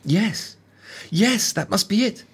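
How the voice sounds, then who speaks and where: An actor playing an excitable, erudite scholar of ancient Egypt in an audio drama, with a husky voice. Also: male voice, high-pitched, takes it slowly, heavily acted. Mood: amazed, curious. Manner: erudite, animated.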